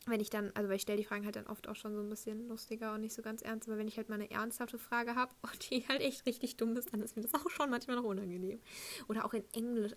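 Chatty, self-deprecating teenage woman. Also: medium pitch. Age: late teens. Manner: self-deprecating, giggly, youthful slang. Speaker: high school student sharing anecdotes. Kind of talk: casual, rambling personal chat.

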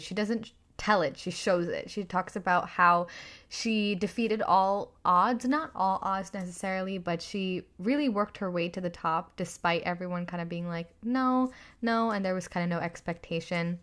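A young woman hosting her own podcast, chatting enthusiastically about a book with a slightly raspy voice from screaming. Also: on the low side, a bit bright. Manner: enthusiastic, chatty, lots of fillers. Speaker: podcast host. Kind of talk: casual solo podcast book review.

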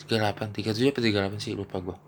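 A man's voice: lowish voice, fairly even intonation, rapid-fire, slight background noise. A young man hosting a casual solo podcast, rambling and slangy, recording on a phone while nursing a mouth ulcer. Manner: slangy, rambling, laughs often. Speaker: podcast host.

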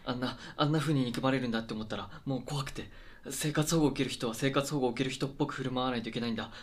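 A man's voice: mid-range voice, rapid-fire, close to an everyday voice. A man acting in a conversational radio drama as a depressed, self-conscious welfare applicant, hesitant, flustered and apologetic. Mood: agitated, defensive.

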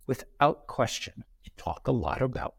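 Brief: male guest, 50s, animated anecdotal speaker, mid-pitch, dry studio mic. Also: moderate pace, creaky, breathy edge, no room sound. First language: bilingual, Brazilian parents. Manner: animated, acts out quoted dialogue. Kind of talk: conversational interview storytelling.

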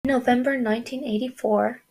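A woman's voice: a little high, fairly even intonation, brisk, a little mellow, faint music behind. A young woman narrating a true-crime podcast from a script, breaking off into indignant asides. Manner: indignant asides between read facts. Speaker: podcast host.

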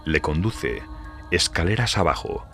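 A man's voice: deep voice, lively intonation, measured pace, fairly bright tone. A man narrating a horror audiobook in a dramatic, scripted storytelling style. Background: music.

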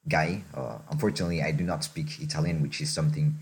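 A young man from Mexico talks through life lessons in a relaxed podcast chat, thinking aloud and checking in with "right?". His voice sits extremely low, a deep rumble under every phrase. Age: young adult. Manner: reflective, unhurried, often tags "right?". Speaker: podcast co-host.

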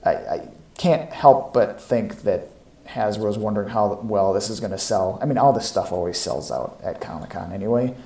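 Male co-host for an informal podcast, slightly deep voice, conversational delivery, roomy reverberant recording. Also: normal speed, gravelly, mellow. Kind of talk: casual chat about comics and toys. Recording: echoey, roomy podcast audio.

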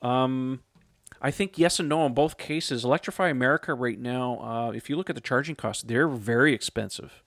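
A man's voice: mid-range voice, moderate pace, vocal fry, a bit bright, dry recording. Man, 30s, podcast host; casual and chatty, dry close sound. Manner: chatty, laughs often, frequent fillers. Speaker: podcast host reading listener questions.

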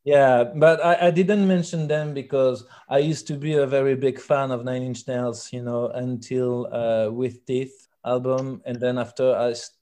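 A relaxed, mellow-voiced man with a French accent speaks as an interviewed musician. He picks his way through English haltingly, often repeating words as he searches for the next one. His pitch sits in an ordinary male range. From France. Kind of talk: conversational interview answers.

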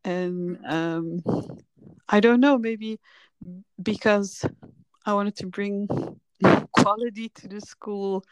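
A woman's voice: medium pitch, steady tone, slow, pauses often, clean tone, rough recording. A woman in her thirties from the Netherlands, a filmmaker guest telling her story candidly and reflectively in a casual podcast chat.

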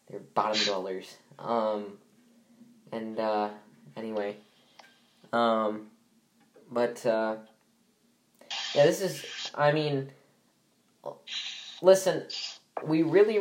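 A young man with a stuffy, congested voice hosts a casual sports podcast. He rambles along, full of ums and restarts, in an everyday mid-range pitch. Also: rough recording. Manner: rambling, many fillers and restarts. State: stuffy from allergies. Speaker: podcast host.

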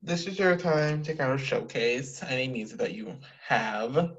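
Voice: mid-range voice, animated, unhurried. Eager host on dull lo-fi audio. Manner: enthusiastic, repeats phrases. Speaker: interview show host. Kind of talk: casual interview.

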